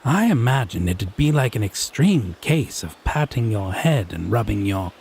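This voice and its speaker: A man's voice: fairly low pitch, sing-song, a little slow, vocal fry, darkish tone, a little hiss or hum, heavily acted. A man playing a smug, tipsy male fae who teases his lover with mocking, sardonic condescension in a theatrical audio roleplay. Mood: teasing, amused. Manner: drawling, mocking, sardonic, pet names. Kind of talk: slow theatrical audio roleplay monologue addressed to listener.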